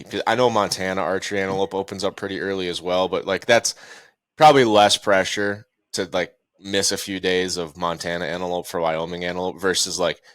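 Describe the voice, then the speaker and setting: A man hosting a podcast interview, asking chatty, relaxed questions in casual slang. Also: low voice, clean tone, no echo. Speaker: podcast host.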